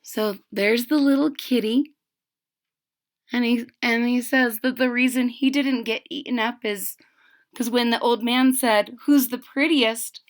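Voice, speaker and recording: A woman reading a picture book aloud to young children, expressive and stopping to explain in simple words. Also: on the high side, unhurried. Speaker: storybook reader.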